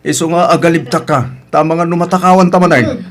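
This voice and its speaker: A man with an Ilocano accent acting a good-natured, contented older husband and market vendor in an audio drama, with a husky, homely delivery. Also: on the high side, fast talker, close to an everyday voice. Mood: cheerful.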